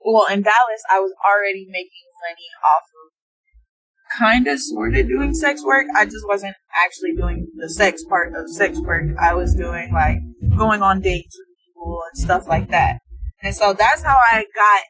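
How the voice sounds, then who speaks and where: A woman's voice: mid-range voice, moderate pace, husky, no echo, low-quality recording. A woman in her twenties from Texas, a guest chatting casually in a car, hesitant with many fillers. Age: 20s. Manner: hesitant, many ums and likes, relaxed. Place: inside a car.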